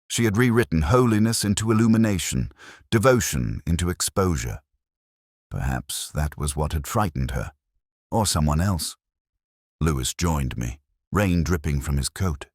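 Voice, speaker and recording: A man with an extremely deep voice narrates a detective mystery in the first person, slow-minded and deliberate in his phrasing. His pitch still moves expressively from line to line, and the sound is dry, with no room around it. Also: animated, darkish tone, dry recording. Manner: measured, deliberate, brooding.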